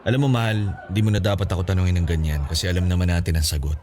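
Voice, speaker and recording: A man's voice: sits low, fairly even intonation, racing, darkish tone, close to an everyday voice. A man playing a charming young suitor in a Filipino radio romance drama, speaking with a Filipino accent in a playful, coaxing, conversational way. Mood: affectionate.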